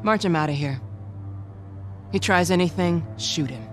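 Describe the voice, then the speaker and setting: A woman acting a grave, measured, middle-aged resistance leader in a cinematic video game drama. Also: lowish voice, lightly acted. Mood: cold, commanding. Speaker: video game character voice actor. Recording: music under dialogue.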